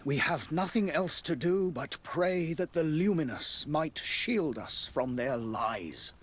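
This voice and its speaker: An actor playing a grave, pious high clergyman in a video game, solemn and theatrical with a husky voice. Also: lively intonation, slow pace. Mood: bitter, pious. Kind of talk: scripted video game cutscene acting.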